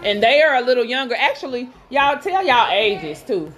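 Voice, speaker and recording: A woman's voice: on the high side, sing-song, normal speed, fairly bright tone, slight background noise. A woman, hosting a frank, profane, laughing chat with friends. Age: 30s. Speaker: host. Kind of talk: casual chat.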